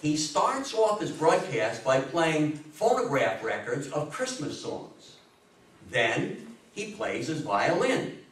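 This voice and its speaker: A man in his sixties lectures to a room in a fairly high voice, stressing his points like a storyteller. He pauses for emphasis and puts questions to his listeners. The sound is very echoey and picked up from a distance, with a faint layer of noise. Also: big pitch swings. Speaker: guest lecturer on history. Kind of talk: public talk to an audience. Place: indoor meeting room with seated audience. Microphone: distant camera microphone.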